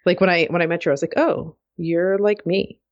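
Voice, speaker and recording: A woman co-hosting a podcast, with a very low voice and a slightly dull, muffled sound. She speaks agreeably and reflectively, with plenty of fillers. The audio is dry and close. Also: fairly even intonation, brisk, no echo. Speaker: podcast co-host. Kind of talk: casual conversational chat.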